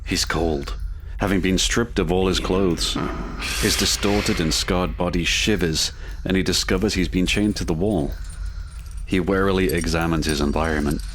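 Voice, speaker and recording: Noisy rainy background, man, very low voice, measured scripted narration, gothic storyteller. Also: steady tone.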